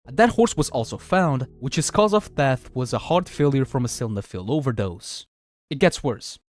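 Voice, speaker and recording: A man's voice: on the high side, a little mellow. A man narrating a scripted video review of a horror series as its host.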